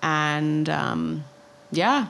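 Low-voiced American woman, chatting casually. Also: animated, extremely slow, vocal fry, fairly bright tone, slight background noise. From New York and New Jersey, United States. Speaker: podcast guest, travel writer. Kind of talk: casual conversational storytelling.

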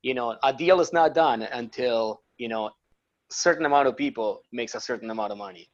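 A man's voice: mid-range voice, fairly quick, dry recording. A man with an Argentine accent co-hosting a casual sports podcast, joking and laughing at himself. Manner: joking, laughs at own remarks. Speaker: podcast co-host. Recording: dull lo-fi sound.

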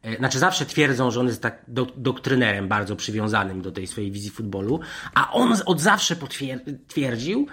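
A man's voice: mid-range voice, very animated, a bit bright, breathy edge. A man discussing football as a panel pundit, unscripted and wordy, often breaking off and restarting his sentences. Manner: wordy, digressive, halting with repeated restarts.

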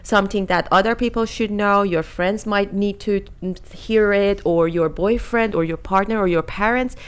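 A woman's voice: mid-range voice, moderate pace, clean tone. A woman in her thirties from Iran who has lived in many countries, co-hosting a casual panel discussion. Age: 30s. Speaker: podcast co-host.

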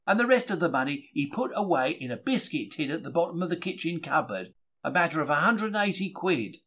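Male, slightly high voice, very lively intonation, colloquial storytelling, diary audiobook reading. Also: a little fast. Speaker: audiobook reader. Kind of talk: dramatic reading of a humorous diary.

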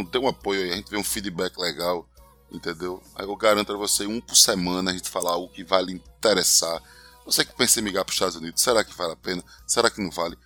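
Casual podcast co-host, young man, strong Recife accent, low pitch, chatty, home recording with faint music under it. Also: sharp tone. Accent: Pernambuco (Recife). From Recife, northeast Brazil. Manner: chatty, often tags "entendeu?". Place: living room at home.

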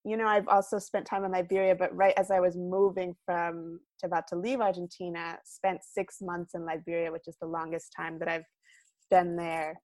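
A young woman from the United States, a guest artist, talking reflectively in an interview and often trailing off quietly. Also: lowish voice, little pitch movement, normal speed, no echo. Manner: thoughtful, many fillers and restarts, trailing off quietly.

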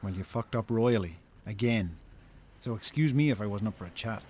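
An actor with an Irish accent playing a reproachful, level-headed brother in a radio drama, measured and blunt. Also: male voice, on the low side, expressive, darkish tone, breathy edge. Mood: cold, reproachful. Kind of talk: naturalistic radio drama acting.

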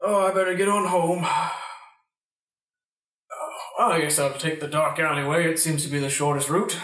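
A man's voice: fairly high pitch, steady tone, quick pace, fairly bright tone, far from the mic, close to an everyday voice. A man acting a nervous young lawyer in an improvised radio play, muttering hesitantly to himself. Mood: weary, chatty. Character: nervous young lawyer walking home alone at night. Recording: echoey room, off the mic.

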